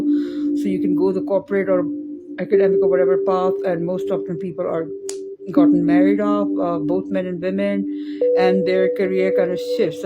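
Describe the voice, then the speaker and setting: A woman, giving a reflective spoken talk in a thoughtful, unhurried way, with frequent fillers and restarts. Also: fairly low pitch. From Pakistan. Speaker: spiritual teacher giving a video talk series. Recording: muffled lo-fi sound with loud music behind.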